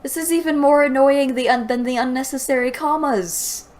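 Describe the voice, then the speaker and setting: A woman's voice: high-pitched, moderate pace, a little mellow. A woman hosting a book review, reading aloud and commenting with exasperated sarcasm. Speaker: host of a book review show.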